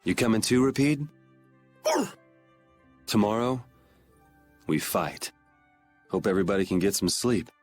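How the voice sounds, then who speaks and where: A young swordsman hero from a video game. His voice sits fairly low, and he talks in a blunt, offhand, dryly confident way. Light music plays behind him. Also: lively intonation, measured pace, pauses often. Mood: resolute.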